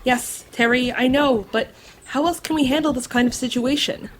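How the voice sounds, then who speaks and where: A woman's voice: sits high, fairly even intonation, moderate pace, a little hiss or hum, close to an everyday voice. A woman playing an earnest, caring green Martian who is eager to help, in an audio drama. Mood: worried, insistent. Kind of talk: audio drama character acting.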